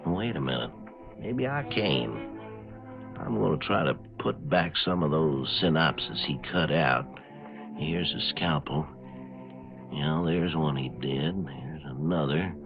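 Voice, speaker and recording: Folksy small-town helper, low-fi radio. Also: male voice, creaky, exaggerated voice. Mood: quiet, earnest. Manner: homespun, plain-spoken, unhurried. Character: folksy, decent small-town man, inventor's helper and narrator. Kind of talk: 1950s radio drama acting and narration. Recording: dull 1950s radio recording, music behind.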